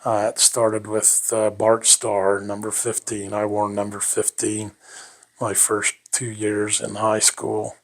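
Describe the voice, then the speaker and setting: A man's voice: fairly low pitch, monotone, takes it slowly. An older man reminiscing about his life in an interview, rambling and folksy, chuckling often.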